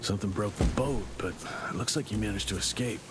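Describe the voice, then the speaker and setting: An actor playing a rugged, wry lone monster hunter in a video game, muttering observations to himself. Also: male voice, fairly low pitch, fast talker, heavy background noise, natural voice. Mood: wry, observant. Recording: loud music behind the voice.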